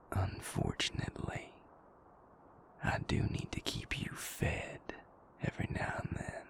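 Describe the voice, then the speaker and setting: A man playing a whispering, gravelly vampire king. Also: lowish voice, heavily acted. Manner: menacing taunting whisper. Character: cruel vampire, former male king, sadistic captor. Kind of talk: audio roleplay drama.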